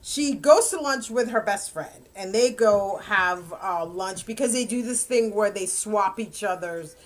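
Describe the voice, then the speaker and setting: A woman's voice: medium pitch, unhurried. A woman in her fifties giving a rambling, casual book review, often correcting herself and laughing. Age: 50s.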